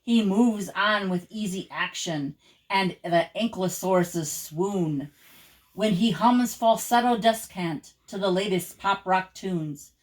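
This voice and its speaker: An older woman, a grandmother, reading children's rhyming poems aloud in an expressive, rhythmic, theatrical way. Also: mid-range voice, slow pace, poor audio.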